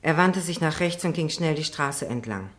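Anxious female witness, very low voice, hesitant and deferential, radio courtroom drama. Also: expressive, moderate pace. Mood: uneasy recounting. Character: timid, anxious woman witness testifying in court.

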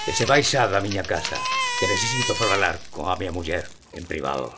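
A man's voice: deep voice, sing-song, fairly quick, heavy background noise. A man playing a brutal, contemptuous Portuguese peasant husband of about forty in a radio play, blustering and insulting with a Galician-Portuguese accent. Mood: menacing.

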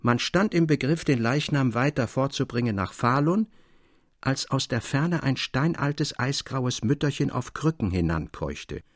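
A man giving a measured, solemn literary narration, as for an audiobook. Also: medium pitch, expressive, no echo, clean studio sound.

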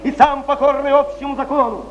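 An actor playing a weary, restless Russian poet on stage, emotional, exclamatory and brooding. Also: male voice, very high voice, steady tone, brisk. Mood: wistful. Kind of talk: theatrical stage declamation. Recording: noisy muffled 1970s theatre recording, echoey.

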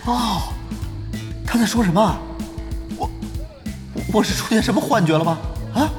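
An actor playing a young male gamer and undercover guild spy in an audio drama, animated and exclamatory, stammering when flustered. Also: sits high, a little slow, over music. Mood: flustered, baffled. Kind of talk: Chinese audio drama acting with inner monologue.

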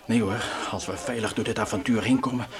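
An actor playing an anxious, wry space traveller who grumbles and jokes colloquially in a Dutch radio play. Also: male voice, lowish voice, fairly even intonation, fairly quick, close to an everyday voice. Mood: confessing, uneasy.

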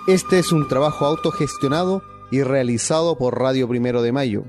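A man's voice: mid-range voice, moderate pace. A man reading scripted radio drama credits as an announcer. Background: music with flute.

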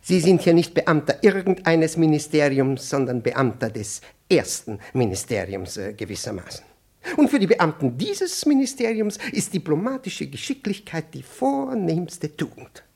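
Fahrigen, wichtigtuerischen Beamten mit rauer Stimme. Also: male voice, fairly high pitch, very animated, normal speed, gravelly, darkish tone, full character voice. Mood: pompous, lecturing. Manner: flustered, stammering, self-important, losing his thread. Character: pompous, scatterbrained senior ministry official, secretly jealous. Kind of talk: 1960s German radio play acting.

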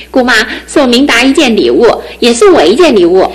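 An actress in her twenties playing a cheerful, resilient young wife in a radio drama, soft and earnest. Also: a little high, very animated, a little slow, a little hiss or hum.

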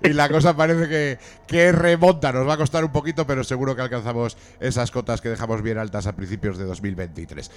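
A man's voice: medium pitch, steady tone, fast, hardly any pauses. A man hosting a rock podcast interview, talking in a chatty way with many fillers and restarts. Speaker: podcast host. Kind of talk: casual radio interview.

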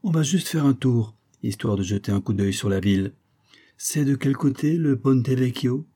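Man acting a gruff, suspicious tourist husband. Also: medium pitch, animated, normal speed, warm tone, pitched above the performer's own voice, lightly acted. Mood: curious. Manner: gruff, sardonic, colloquial. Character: grumbling, suspicious, penny-pinching American tourist husband.

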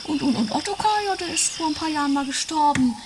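Very high-pitched woman acting, scatterbrained bossy guru wife, argumentative, radio comedy, heavy noise. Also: fast, natural voice. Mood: distracted, rambling. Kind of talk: improvised comedy radio play. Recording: noisy 1980s amateur radio recording.

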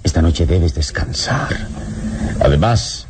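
An actor playing a calm, protective adventure hero in a radio serial, speaking in a measured, soothing, deliberate way. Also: male voice, sits low, background noise. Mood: tender, soothing. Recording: vintage radio drama, music behind.

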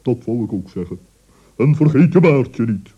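A man's voice: medium pitch, animated, normal speed, warm tone, breathy edge, highs cut off. A man voicing a stern, fussy old male owl in an old radio play, pompous and pedantic in his corrections. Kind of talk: 1960s radio play acting.

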